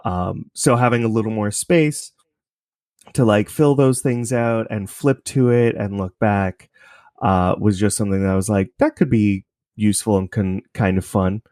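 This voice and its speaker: A man's voice: fairly low pitch, normal speed, a little mellow, no echo, clean studio sound. A man talking casually as an interview guest, explaining things in an informal way. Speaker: interview guest, podcast creator.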